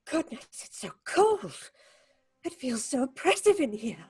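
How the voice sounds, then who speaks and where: An actress playing a stern, anxious, domineering theatre director who talks in a melodramatic way in an audio horror drama. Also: female voice, high voice, exaggerated voice. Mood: uneasy. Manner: imperious, melodramatic, talks aloud to herself.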